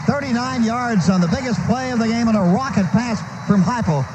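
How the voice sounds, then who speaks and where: Male American radio play-by-play announcer, high-pitched, clipped live football calls, noisy archival broadcast with stadium din. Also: brisk. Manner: short clipped phrases calling action as it happens.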